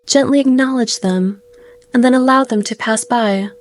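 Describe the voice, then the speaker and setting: A woman guiding a meditation in a gentle, soothing way, speaking in slow phrases with pauses. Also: fairly high pitch. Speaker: meditation guide. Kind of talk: guided meditation narration.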